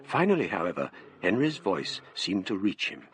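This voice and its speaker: Low, courteous English gentleman. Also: sing-song, slight background noise. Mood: grave narration. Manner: measured, courteous, literary. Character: polite, bookish Cambridge man recounting a ghostly mystery. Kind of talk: radio drama narration and dialogue.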